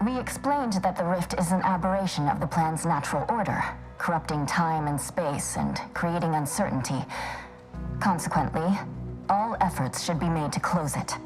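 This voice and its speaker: A woman's voice: deep voice, expressive, moderate pace, faint music behind, low-quality recording. A woman playing a sardonic, argumentative scholar with a blunt way of talking in a video game. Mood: blunt, matter-of-fact. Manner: sarcastic, blunt. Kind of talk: video game dialogue acting.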